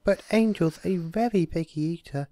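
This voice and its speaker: A man voicing a gentle, apologetic young female pony in a storybook reading, hesitant, stammering and soft-spoken. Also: sits high, moderate pace, no room sound, voice pushed up, close to an everyday voice. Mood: timid, apologetic. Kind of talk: storybook character voice.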